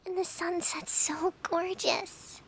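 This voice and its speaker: Woman acting a high-pitched, teasing tsundere girlfriend. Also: level tone, slow, fairly bright tone, slight background noise, full character voice, low-quality recording. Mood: teasing, shy. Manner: playful, pouty, teasing, often dropping to breathy murmurs. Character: flustered, teasing young tsundere girlfriend, bratty but affectionate. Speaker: ASMR roleplay performer. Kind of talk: intimate ASMR girlfriend roleplay.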